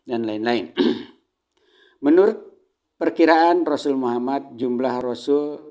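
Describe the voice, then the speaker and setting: An Indonesian man delivers an Islamic lecture in a mid-pitched, mellow voice. He teaches phrase by phrase in a measured, didactic way, as if working through a prepared sermon. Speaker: religious lecturer.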